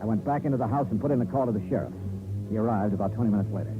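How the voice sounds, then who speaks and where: An actor playing a wry, hardboiled male insurance investigator who narrates his case in terse deadpan asides, in 1950s radio drama style. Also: lowish voice, rapid-fire, natural voice. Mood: tense, grim. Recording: narrow-band old radio recording with music.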